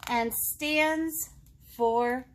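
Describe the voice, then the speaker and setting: High-voiced woman reading slowly to children. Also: slight background noise. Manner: expressive, child-directed, asks questions and pauses. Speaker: children's librarian, storytime host. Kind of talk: reading a picture book aloud to young children, with asides. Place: indoor room, seated.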